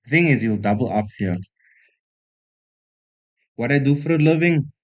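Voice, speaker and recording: A man's voice: mid-range voice, animated, moderate pace, a little mellow, dull audio. A man from South Africa streaming chess, thinking aloud and chatting casually with viewers in a self-deprecating way. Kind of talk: casual live-stream commentary.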